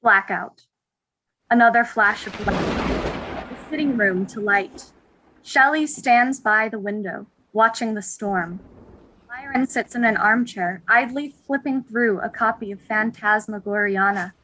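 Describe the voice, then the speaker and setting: A woman's voice: a little high, a little slow, sharp tone, poor audio. A woman narrator reading stage directions in a scripted narration style.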